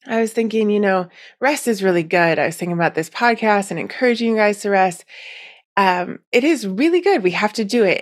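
An American woman hosting a podcast, chatting casually and in a rambling way to her listeners, sounding a bit nasal and congested from allergies. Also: mid-range voice. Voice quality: slightly stuffy, nasal from allergies. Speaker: podcast host.

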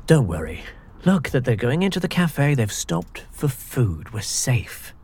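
An actor playing a decisive, reassuring man on an improvised undercover chase in a comedy audio drama, practical and quick to take charge. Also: mid-range voice, big pitch swings, darkish tone, husky. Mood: reassuring, amused. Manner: practical, urgent, taking charge.

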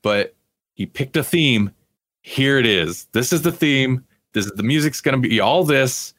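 A man's voice: medium pitch, expressive, unhurried, fairly bright tone, no room sound, clean studio sound. A man co-hosting a podcast, chatting casually about pop culture. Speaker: podcast co-host.

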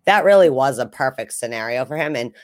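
A man's voice: medium pitch, animated, a little fast, no echo, studio quality. A man co-hosting a sports podcast in casual chat, rambling and thinking aloud in a conversational way.

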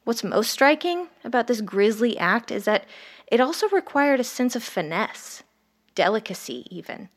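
A woman playing a detached, morbidly curious ER doctor, narrating a horror audio drama in a measured, wry, clinical way. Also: a little high, sing-song.

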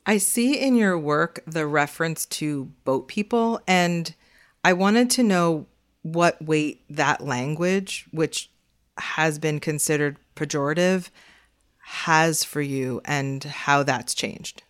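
A woman's voice: deep voice, slow pace. A woman co-hosting a podcast, introducing a guest and asking thoughtful, conversational questions. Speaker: podcast co-host. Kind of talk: casual podcast interviewing.

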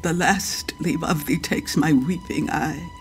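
An actress playing a grieving older widowed duchess in a Shakespearean radio drama, speaking husky, emotional formal verse. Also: female voice, deep voice, a little slow, faint music behind. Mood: grieving, desolate.

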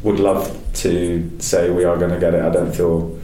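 A man who is a podcast producer, chatting quickly and casually in a team meeting. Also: deep voice, flat delivery, warm tone. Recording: echoey room, faint noise.

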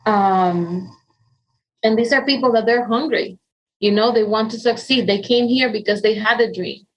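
A woman's voice: fairly high pitch, normal speed, muffled recording, slight background noise. Dominican woman, 40s, spanish accent, earnest and chatty, lots of ums. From Dominican Republic. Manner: earnest, warm storytelling with frequent um and restarts. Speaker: interview guest. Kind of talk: conversational interview.

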